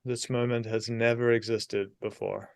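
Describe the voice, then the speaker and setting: A man leading a guided meditation in a soothing, unhurried manner, leaving long pauses between short phrases. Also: medium pitch, level tone, creaky, no echo.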